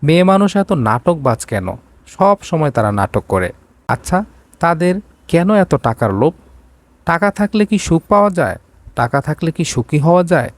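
A man's voice: on the high side, very animated, normal speed, voice pushed up. A man voicing a curt, commanding young rich businessman in an audio romance story. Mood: scornful.

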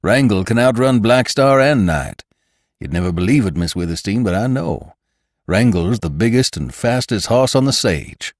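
A man's voice: fairly low pitch, lively intonation, normal speed, no room sound, clean studio sound. A man voicing a loyal, earnest cowboy rider in a western audiobook, with plainspoken, folksy phrasing. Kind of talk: audiobook character acting.

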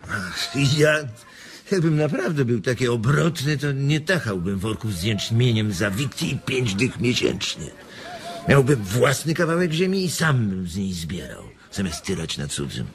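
An actor playing a weary, protective and stern farm labourer in a radio drama, plain-spoken and firm. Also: male voice, medium pitch, sing-song, unhurried. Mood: weary, reflective.

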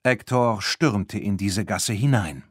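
A man narrating a children's story from a script in an expressive, animated way. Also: fairly low pitch, slow pace, breathy edge, dry recording, clean studio sound. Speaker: audiobook narrator.

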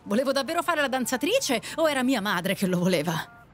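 A woman's voice: a little high, sing-song, brisk. A woman playing a blunt, discontented taxi passenger in an audio drama, direct and questioning with a cynical streak. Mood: bitter, frank. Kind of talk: Italian audio drama dialogue.

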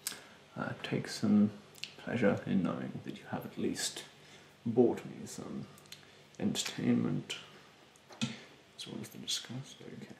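Young man, low pitch, slow delivery, hushed ASMR roleplay, condescending and snooty, roomy bedroom recording. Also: very animated, a little hiss or hum. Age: 20s. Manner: soft-spoken, breathy, often near a whisper; haughty, condescending, politely insulting. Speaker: ASMR roleplayer playing a rude beautician. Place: small bedroom, indoors.

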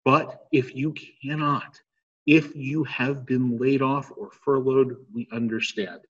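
A middle-aged man leading a church service as pastor, speaking in a slow, measured liturgical way over a webcam. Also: mid-range voice, little pitch movement, breathy edge. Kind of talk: church service prayers and announcements. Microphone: webcam video call. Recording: dull lo-fi webcam audio with long reverb.

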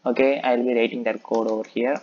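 A man narrates a screen-recorded coding lesson in an almost unchanging monotone, working through each step and pausing on "okay". His voice comes through a dull, lo-fi mic with a faint hiss beneath it. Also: mid-range voice. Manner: methodical, steady, thinking aloud, frequent "okay". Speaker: coding tutor.

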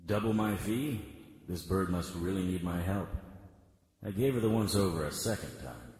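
An actor playing a world-weary, wisecracking supernatural private eye in a noir radio drama, with sardonic old-detective patter and a husky voice. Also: male voice, low-pitched, moderate pace, a little mellow. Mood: guarded, wry.

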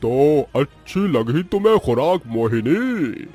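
Guy doing an evil demon king for a cartoon, slow n gloating, bossy, bit of music under it. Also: fairly high pitch, very animated, warm tone, slightly rough, heavily acted. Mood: gloating, mocking. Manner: menacing, slow, imperious, gloating. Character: cruel gloating male demon king with a whip. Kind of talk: Hindi cartoon villain acting.

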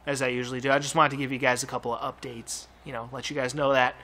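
A young man rambles and swears casually as a gaming channel host, talking over his footage. His voice is bright and a little tense, and a touch hoarse, as if he is losing it. His mouth is numb, which softens his speech slightly. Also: mid-range voice, level tone, fast. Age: young adult. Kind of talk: casual gameplay commentary.

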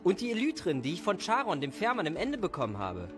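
Man playing a proud young village hero, animated. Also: high voice, fast talker, music in the background. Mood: defensive, proud. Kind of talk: audio drama acting.

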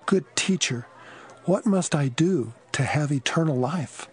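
A man's voice: a little high, lively intonation, a little slow, husky, full character voice. An actor playing an earnest, respectful rich man of importance in an audio Bible drama.

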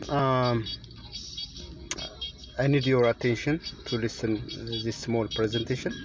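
A middle-aged man from Ethiopia with an Ethiopian accent gives a formal, deliberate prepared speech to camera inside a car. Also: mid-range voice, fairly even intonation, lo-fi sound, background noise. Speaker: presenter, children's author.

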